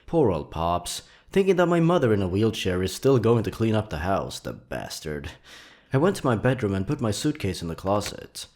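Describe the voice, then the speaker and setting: A man narrating scripted creepy stories in a dramatic, suspenseful, theatrical way with drawn-out pauses. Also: fairly low pitch, darkish tone. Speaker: horror story narrator and channel host.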